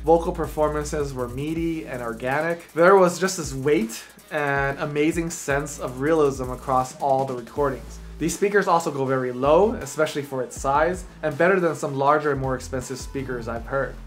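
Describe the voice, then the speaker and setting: A man's voice: fairly high pitch, moderate pace, few pauses. A man in his forties presenting a scripted review to camera with an earnest, enthusiastic manner. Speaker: audio reviewer and video host. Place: small dark indoor studio, seated. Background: background music.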